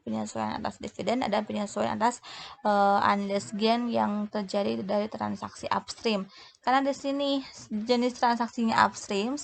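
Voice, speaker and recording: A woman explaining worked examples as a lecturer, in a halting way, often breaking off to correct herself. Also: mid-range voice, gravelly, slight background noise. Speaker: accounting lecturer.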